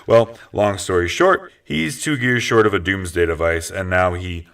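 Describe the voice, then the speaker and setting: A man's voice: deep voice, normal speed, vocal fry, a bit bright, far from the mic. A man playing a cocky, wry vigilante detective with no powers in a comic superhero audio drama, talking casually and sardonically. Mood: wry, explaining.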